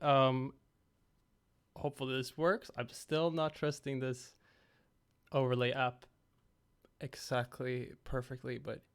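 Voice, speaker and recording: A young man hosting a casual game-creation livestream from home on a headset, slightly raspy after being ill. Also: mid-range voice. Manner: relaxed, rambling, talks to chat.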